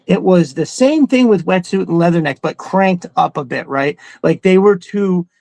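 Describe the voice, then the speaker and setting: A man's voice: high-pitched, moderate pace, vocal fry, darkish tone, dry recording. A man chatting casually as a podcast co-host, enthusiastic and rambling, with some swearing thrown in. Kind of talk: casual chat about cartoons.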